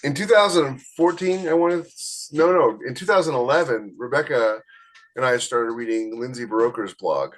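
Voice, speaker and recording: A man's voice: mid-range voice, moderate pace, low-quality recording. A man co-hosting a casual podcast conversation, often restarting his sentences as he recalls details. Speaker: podcast co-host and author.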